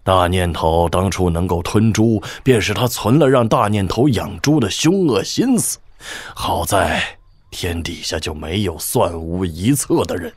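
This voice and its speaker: An actor playing a shrewd old military governor, husky, measured and wry, in a wuxia audio drama. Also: male voice, low voice, lively intonation, dry recording, heavily acted. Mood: grave, wary. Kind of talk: Chinese wuxia radio drama acting.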